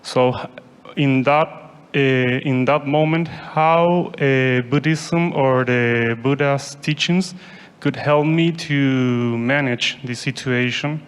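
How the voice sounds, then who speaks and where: Young Chilean man asking slowly, hall echo. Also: medium pitch, smooth. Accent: Chilean Spanish. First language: Spanish. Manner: careful, hesitant, many "uh". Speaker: audience member asking a question. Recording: long hall reverb.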